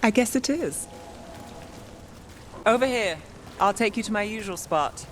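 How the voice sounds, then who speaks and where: A woman's voice: mid-range voice, animated, unhurried, slight background noise, lightly acted. Woman playing a dry, weary female detective, rainy backdrop. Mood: relaxed, dry. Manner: dry, understated wit. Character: weary, wry, guarded young female detective in a fantasy city. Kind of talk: video game voice acting.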